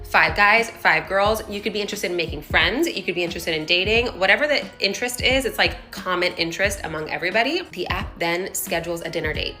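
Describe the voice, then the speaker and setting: A woman's voice: lowish voice, fast talker, few pauses, sharp tone, soft background music. A woman talking casually and enthusiastically to camera, full of "like" fillers. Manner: chatty, enthusiastic, many "like" fillers. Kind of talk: casual vlog monologue.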